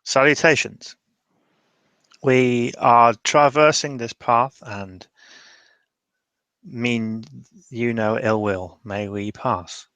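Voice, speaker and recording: A man playing a courteous, dryly doubtful old hermit mage in a tabletop roleplay, musing haltingly in old-fashioned wording. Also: mid-range voice, fairly bright tone, dry recording. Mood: formal.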